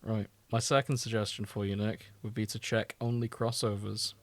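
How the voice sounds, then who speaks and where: A man's voice: fairly low pitch, level tone, moderate pace, no echo. A man chatting casually as a podcast co-host, informal and opinionated in a bantering way.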